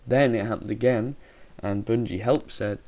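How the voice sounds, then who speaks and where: A man's voice: lowish voice, fairly even intonation, moderate pace, dark tone. Man with a sore throat. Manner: rambling, frequent self-corrections. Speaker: gaming YouTuber. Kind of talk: casual commentary video.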